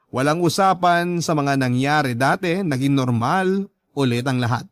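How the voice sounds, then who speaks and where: Higher-pitched Filipino man narrating a dramatic letter. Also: dry recording, clean studio sound. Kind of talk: dramatic first-person letter reading, storytelling.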